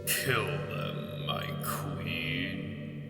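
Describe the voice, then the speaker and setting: A vengeful dead king's ghost, voiced by a man in a fan audio drama, pressing his queen to kill with slow, heavy, menacing words. The voice sits very low and drags each phrase out. Clear music plays beneath him. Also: exaggerated voice. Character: ghost of a dead Scottish king, vengeful and commanding. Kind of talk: amateur audio drama acting.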